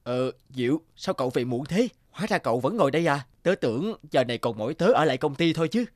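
A man voicing an earnest, expressive young office worker in a cartoon, with music underneath. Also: on the high side, fast, a little hiss or hum, lightly acted. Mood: warm, casual. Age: young adult. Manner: expressive, dramatic. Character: earnest young office manager, groom-to-be, easily distressed. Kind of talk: cartoon dubbing.

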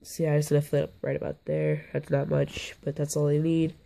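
Hoarse young man, gravelly and dark. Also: on the high side, monotone, normal speed. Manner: rambling, self-interrupting, offhand. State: hoarse, a little sick. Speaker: bug-keeping vlogger. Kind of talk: casual hands-on vlog. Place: indoors, on a carpeted floor.

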